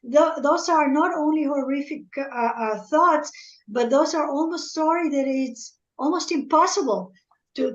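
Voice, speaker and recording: Middle-aged French-native woman, fairly high voice, dull lo-fi sound, earnest and halting. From Algeria. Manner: earnest and measured, often repeating words as she searches for them. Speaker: guest analyst, former military spokesperson. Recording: echoey room, dull lo-fi audio.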